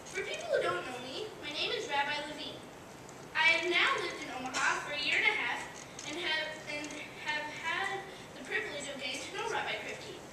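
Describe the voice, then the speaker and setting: Woman acting rabbi at a celebration, very high voice, formal scripted tribute, echoey hall. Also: lively intonation, fairly quick. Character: rabbi giving a warm formal birthday tribute. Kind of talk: scripted stage speech. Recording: echoey hall from far off, noisy.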